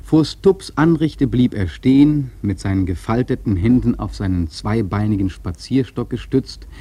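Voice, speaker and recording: A man's voice: mid-range voice, normal speed, dark tone, husky, dry recording. A man narrating a radio play in a literary, scripted storytelling style.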